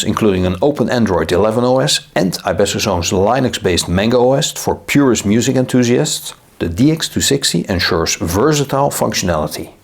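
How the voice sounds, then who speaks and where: A man's voice: on the low side, vocal fry, husky. A man reading a scripted tech product review in a polished, formal, promotional way.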